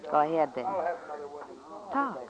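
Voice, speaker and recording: Cool, defiant saloon temptress. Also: female voice, sits low, sing-song, measured pace. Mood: defiant, taunting. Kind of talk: 1950s radio drama acting. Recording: dull old radio recording, crowd murmur.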